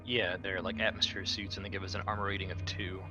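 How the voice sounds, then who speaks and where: A man's voice: low voice, flat delivery, fast. A man chatting casually as a player in a tabletop roleplaying game. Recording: dull lo-fi audio, background noise.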